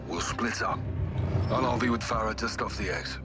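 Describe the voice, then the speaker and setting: An actor playing a blunt veteran army captain in a video game cutscene, giving terse military orders over a music score. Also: male voice, on the low side, normal speed, close to an everyday voice. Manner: terse military radio talk. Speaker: squad captain.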